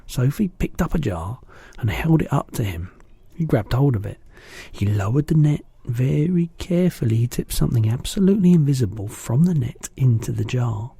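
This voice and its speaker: A man, a teacher, reading a children's book aloud in steady, low-key narration. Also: moderate pace, gravelly, breathy edge, no echo.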